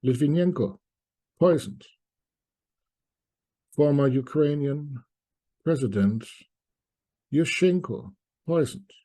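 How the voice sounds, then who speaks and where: A middle-aged man from East Germany, giving reflective spoken commentary to viewers in deliberate short phrases with pauses. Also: on the high side, animated, dark tone. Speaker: commentator presenting a video essay.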